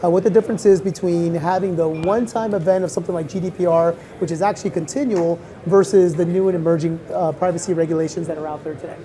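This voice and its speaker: A woman moderating a live panel discussion, talking quickly and casually with fillers. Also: fairly low pitch, steady tone, warm tone.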